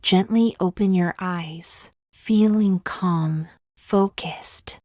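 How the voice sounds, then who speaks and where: Low-pitched woman gently guiding meditation. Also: dry recording, clean studio sound. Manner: slow, gentle, measured phrasing with long pauses. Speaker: meditation guide. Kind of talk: scripted guided meditation.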